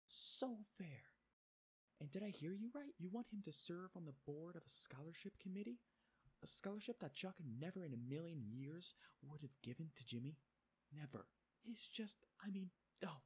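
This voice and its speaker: An actress playing a middle-aged woman furiously confronting her boss in a TV drama, husky, accusing and sarcastic. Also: fairly low pitch, darkish tone. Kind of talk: television drama acting.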